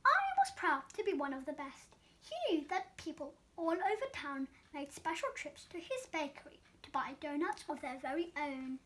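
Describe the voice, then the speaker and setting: A woman reading a children's storybook aloud as an expressive storyteller. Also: sits high, moderate pace.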